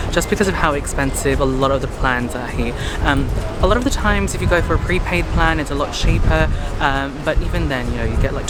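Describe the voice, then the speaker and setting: A man from Hong Kong with a Hong Kong English accent, vlogging casually outdoors and chatting to viewers. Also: medium pitch. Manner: chatty, addresses viewers as "you guys", many "um"s. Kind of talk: casual vlog to camera. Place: outdoors in a city street. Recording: loud outdoor street noise. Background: loud traffic and street noise.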